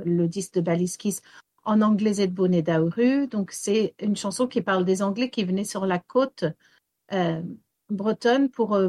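A woman's voice: lowish voice, mellow, dry recording. Hesitant woman raised in Wales. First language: English. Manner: hesitant, many fillers and restarts. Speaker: storyteller and singer. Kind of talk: radio interview answers.

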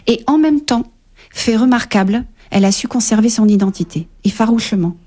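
Woman guest author, mellow tone, reflective and halting, radio interview. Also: on the high side, expressive. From Paris, France. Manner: hesitant, many fillers, warm and reflective. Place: radio studio.

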